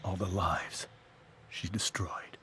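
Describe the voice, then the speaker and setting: A man playing a stern, protective middle-aged father in a video game drama, speaking gravely and deliberately. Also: low-pitched, little pitch movement, bright, forceful tone, a little hiss or hum, exaggerated voice. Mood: bitter, resolute. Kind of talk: dramatic game cutscene acting.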